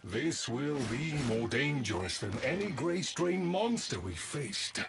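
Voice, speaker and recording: A man's voice: a little high, sing-song, a little slow, vocal fry, exaggerated voice. A man voicing a solemn, theatrical creature companion in a video game, speaking in grand, courtly phrases. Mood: earnest resolve. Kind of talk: video game character dialogue. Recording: loud game gunfire and ambient noise.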